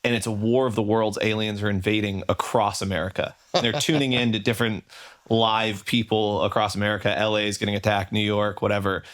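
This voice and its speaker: A man in casual conversation, explaining things in a halting way with restarts. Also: on the low side, little pitch movement, fairly bright tone.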